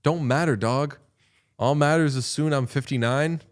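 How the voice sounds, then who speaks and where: A man's voice: a little high, normal speed. A man in his late thirties, co-hosting a casual sports watchalong, telling rambling stories with a dry, wry humor and some swearing. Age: 30s. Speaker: podcast co-host.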